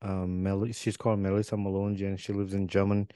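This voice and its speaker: A man's voice: low-pitched, monotone, a little fast, warm tone, no echo, clean studio sound. A man hosting a casual podcast interview, easygoing and curious, often restarting his questions. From Uganda. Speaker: podcast host.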